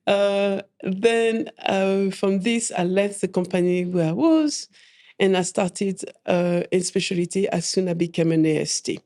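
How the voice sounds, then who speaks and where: A woman, a coffee trainer chatting as an interview guest, laughing often and filling pauses with "uh". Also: mid-range voice, dark tone. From France. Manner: chatty, laughs often, many fillers and restarts. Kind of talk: casual interview chat.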